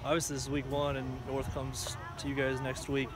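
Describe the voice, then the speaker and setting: A man's voice: medium pitch, monotone, fairly quick. A man working as a sports reporter, asking casual post-game questions with restarts on an outdoor field at night.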